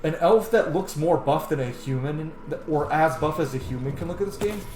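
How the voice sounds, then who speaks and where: A man's voice: fairly high pitch, expressive, normal speed. A man doing casual, rambling, sweary let's play commentary as he streams a video game. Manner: rambling, sweary, self-joking. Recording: echoey room with clear background noise.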